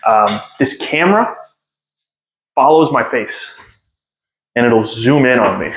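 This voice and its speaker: A man's voice: medium pitch, animated, takes it slowly, a little hiss or hum. Rambling, joking young man. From United States. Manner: rambling, self-deprecating, many fillers. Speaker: podcast host. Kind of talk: unscripted casual monologue. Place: home. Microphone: phone on a stand.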